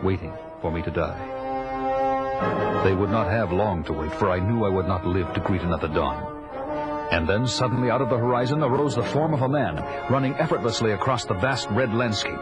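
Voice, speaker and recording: A man's voice: on the low side, fairly quick, lightly acted. An actor playing a grim barbarian warrior who tells his own tale in solemn, portentous audio drama narration. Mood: solemn, grave. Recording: loud music bed behind voice.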